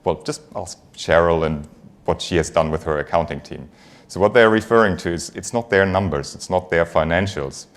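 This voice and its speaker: Deep-voiced man with a German accent. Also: moderate pace. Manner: conversational, engaging, light humour with the audience. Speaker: finance executive giving a conference talk. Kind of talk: conference presentation.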